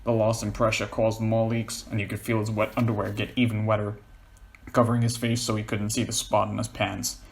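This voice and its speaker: A man's voice: on the low side, monotone, fairly quick, gravelly. A man reading scripted fiction aloud as the narrator.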